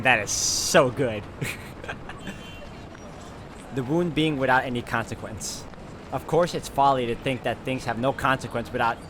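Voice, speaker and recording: A man hosting a game walkthrough, reading story narration aloud in an expressive, conversational way with casual asides. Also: mid-range voice, background noise. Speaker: video game walkthrough host.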